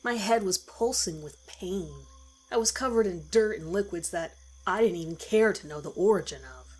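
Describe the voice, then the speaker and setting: A woman's voice: lowish voice, expressive, moderate pace, a little mellow, slightly rough, low-quality recording. A woman reading a first-person horror story as a dramatic scripted narration. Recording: music behind the voice. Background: music.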